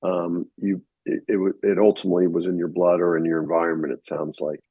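A man's voice: sits low, level tone, a little fast, slightly rough, no room sound, highs cut off. A man hosting an interview, introducing his guest and asking friendly, rambling questions with restarts. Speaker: podcast host.

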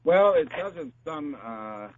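A man talking as a doctor giving health advice, explaining in a halting, repetitive, conversational way. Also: a little high, very animated, dry recording. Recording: dull, noisy line with hum.

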